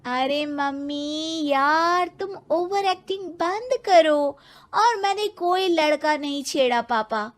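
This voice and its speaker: Woman as cheeky, very high-pitched young woman. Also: animated, slow pace. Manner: casual, sassy back-talk. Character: cheeky, carefree, defiant young college woman. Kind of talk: animated moral story acting.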